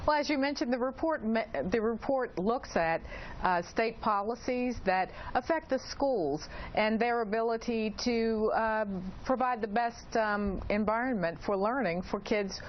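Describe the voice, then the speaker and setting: A woman speaking as an expert guest in an interview, explaining in a hesitant way with frequent pauses. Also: on the high side. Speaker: expert guest, principal investigator. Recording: dull lo-fi audio, clearly noisy.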